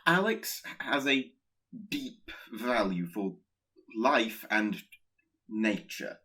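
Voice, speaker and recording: A man's voice: lowish voice, sing-song, extremely slow, pushed and bright, exaggerated voice. A man playing Pestilence, a timid, anxious horseman of the apocalypse who stammers nervously in a radio play. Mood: anxious, hesitant.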